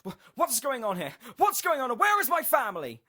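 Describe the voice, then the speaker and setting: A man's voice: extremely high pitch, wildly swooping pitch, normal speed, bright, forceful tone, voice pushed up, exaggerated voice. A man voicing a desperate, grieving detective unraveling into rage in a horror story. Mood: frantic, screaming. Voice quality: hoarse, scratchy when muttering, screaming when distraught.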